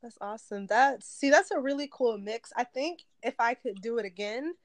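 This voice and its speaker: A woman's voice: on the high side, lively intonation, normal speed, no room sound, studio quality. A woman in her thirties from Detroit, hosting her own interview show in a chatty, upbeat way. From Detroit, USA. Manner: chatty, upbeat, light laughs. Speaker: podcast host. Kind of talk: casual interview chat.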